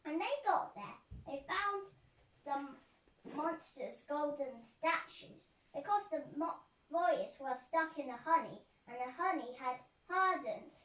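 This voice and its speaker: Young female storyteller, slow and halting, distant roomy recording with faint noise. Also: sits high, animated, fairly bright tone. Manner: halting, repeats and restarts phrases. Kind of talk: storytelling for a puppet show.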